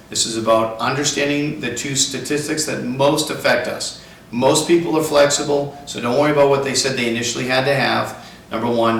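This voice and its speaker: A man's voice: mid-range voice, a bit bright. A man working as a car sales trainer gives an instructional talk, explaining emphatically step by step. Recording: echoey room, distant mic, faint noise.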